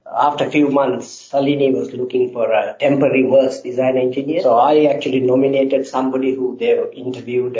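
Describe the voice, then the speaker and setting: A middle-aged man from Sri Lanka, answering interview questions in a halting way with many filler sounds. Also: mid-range voice, warm tone. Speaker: guest engineer. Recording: roomy, slightly dull sound.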